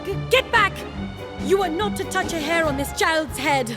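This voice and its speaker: A woman's voice: very high voice, normal speed, over music. A woman playing an earnest, devout and brave young woman in a video game, speaking politely and formally with some hesitancy. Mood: defiant.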